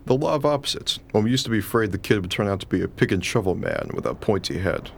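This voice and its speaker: A man's voice: lowish voice, expressive, fast talker, gravelly, a little hiss or hum. A man playing a nervous expectant husband in a radio play, trying to sound confident. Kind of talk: radio drama acting.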